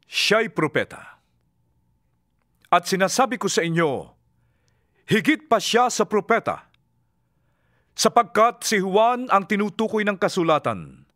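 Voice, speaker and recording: Fervent preacher, high forceful voice, solemn, authoritative, declamatory, scripture audio drama. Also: male voice, no room sound, studio quality, heavily acted. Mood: solemn, challenging. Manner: declamatory, deliberate.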